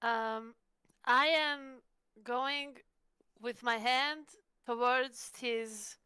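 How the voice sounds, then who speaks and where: Bright, high-voiced woman playing a game. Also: fairly even intonation, very slow, smooth, dry recording. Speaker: player in a tabletop game. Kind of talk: casual game chat.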